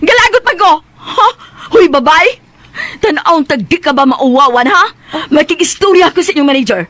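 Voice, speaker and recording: An actress playing a rude, bratty young woman in a radio drama who whines and scolds in a shrill voice. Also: lively intonation, moderate pace, fairly bright tone, no room sound. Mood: tearful, indignant.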